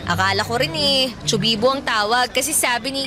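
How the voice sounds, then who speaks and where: An actress playing a bashful woman in her thirties who gently scolds and teases in a Filipino radio drama. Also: fairly high pitch, fairly quick, natural voice. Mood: chiding. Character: Bebeng, bashful thirty-five-year-old woman, gently scolding cousin. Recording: music behind the voice. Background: music.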